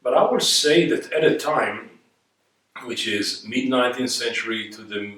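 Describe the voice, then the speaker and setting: The sound is strongly reverberant and a little distant from the microphone. A man with an Israeli accent speaks at a middling pitch in the manner of a reflective lecturer. He stresses his points, asks rhetorical questions and builds by repetition. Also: normal speed, fairly bright tone. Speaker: guest speaker. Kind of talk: reflective spoken lecture on history. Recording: echoey room, distant microphone.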